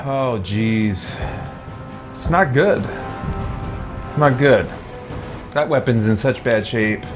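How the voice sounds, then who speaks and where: A man's voice: mid-range voice, slow pace, over music. A man giving casual gameplay commentary, thinking aloud to himself with mild folksy exclamations. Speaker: let's play host, gamer.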